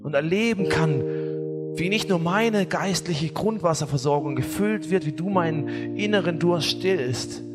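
A man preaching a sermon as pastor, telling stories with humor in a lively way. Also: a little high, a little slow. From not from Bavaria or Austria. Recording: echoey hall, faint music.

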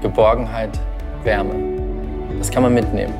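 A man, a parent, slowly reciting rhyming spoken-word poetry. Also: fairly low pitch, flat delivery. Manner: slow, rhyming delivery. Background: music.

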